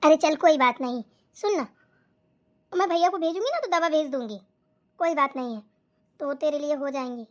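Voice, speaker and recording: A woman voicing a caring older mother in a family cartoon, soothing her daughter and gently admonishing her. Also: high-pitched, expressive, moderate pace, pauses often. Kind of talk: Hindi cartoon voice acting.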